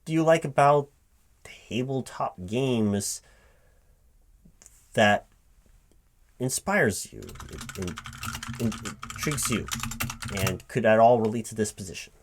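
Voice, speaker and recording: Slow-talking man playing a puzzled interviewer. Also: medium pitch, lively intonation. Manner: halting, puzzled, repeats and checks what was heard. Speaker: roleplay performer as recruiting manager interviewer. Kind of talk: one-sided job interview ASMR roleplay, spoken aloud. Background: computer keyboard typing.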